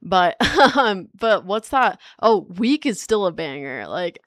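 A bubbly young woman in her early twenties hosts a college radio show. Her pitch swings widely as she chats and laughs in slang. The sound is dry and close on a studio mic. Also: sing-song, slow pace, fairly bright tone, no echo. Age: 20s. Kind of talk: casual chat.

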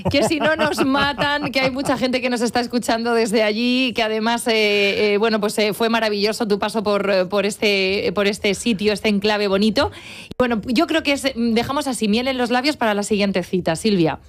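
A woman's voice: a little high, moderate pace. Female radio host, hesitant with lots of fillers. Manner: warm hesitant, many fillers. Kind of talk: interviewing on a radio show.

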